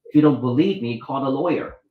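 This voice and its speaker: A man's voice: mid-range voice, brisk, echoey room, phone-quality sound. A middle-aged man from Vietnam, a doctor and author telling his life story as an interview guest, with many restarts, acting out the dialogue. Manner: rambling, restarts, acts out quoted dialogue.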